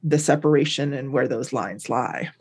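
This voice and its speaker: A man's voice: mid-range voice, normal speed, breathy edge. A man co-hosting a podcast, chatting in an easygoing, thoughtful way. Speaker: podcast co-host. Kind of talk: casual chat.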